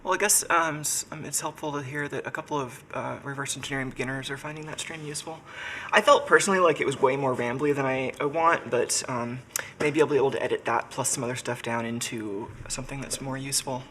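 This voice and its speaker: A man's voice: mid-range voice, steady tone, fast talker, a bit bright, slight background noise. A man who is sick and congested, chatting casually on a live stream from his workshop and thinking aloud with lots of fillers. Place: home workshop.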